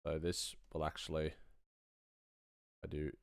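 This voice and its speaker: A man's voice: a bass voice, monotone, unhurried, creaky, smooth, no room sound, clean studio sound. A man giving a casual live-stream tutorial as a sound designer, thinking aloud while he works.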